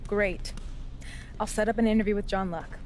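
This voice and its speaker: A woman's voice: medium pitch, fairly even intonation, darkish tone, lightly acted. Woman acting busy exec lady in a video game, businesslike, bit bossy but nice, slight background noise. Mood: friendly, businesslike. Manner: brisk, businesslike. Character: brisk, bossy but friendly female executive. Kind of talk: scripted video game dialogue.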